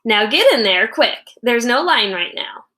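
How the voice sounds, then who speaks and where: Female reader as no-nonsense storybook mom, brisk orders, higher-pitched voice. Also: sing-song, pushed and bright, exaggerated voice. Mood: brisk, bossy. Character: brisk, no-nonsense mother of a boy.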